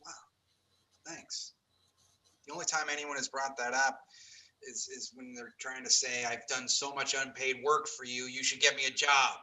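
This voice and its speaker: A man's voice: mid-range voice, level tone, normal speed, bright, forceful tone, slight background noise, low-quality recording. A man playing a smug, self-pitying Hollywood actor in a comedy table read. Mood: awkward.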